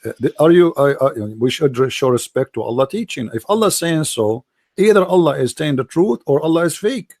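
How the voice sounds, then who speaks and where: A man's voice: on the high side, lively intonation, fairly quick, darkish tone. A middle-aged man whose first language is Arabic hosts a heated debate, talking in a sarcastic, mocking way and often saying "my friend". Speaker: debate host.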